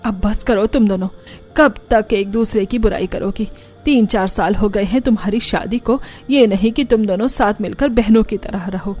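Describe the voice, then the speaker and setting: A woman's voice: medium pitch, normal speed, darkish tone, close to an everyday voice. A woman voicing a fed-up, bossy mother-in-law who scolds her household in a cartoon story. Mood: scolding. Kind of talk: Hindi cartoon story dialogue. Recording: music under the voice.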